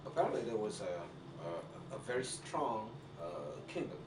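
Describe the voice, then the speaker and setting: Male host, English, halting delivery; distant mic in an echoey room. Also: medium pitch, big pitch swings, background noise. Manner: hesitant, searching for words. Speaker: interview host. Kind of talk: casual interview. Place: indoor office with African decor. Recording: echoey room, mic far off, loud guitar music behind.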